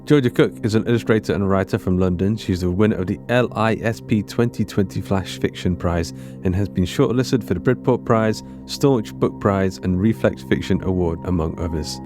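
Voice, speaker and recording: A podcast host reading the episode credits in a brisk, casual, upbeat way. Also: male voice, low voice. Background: music.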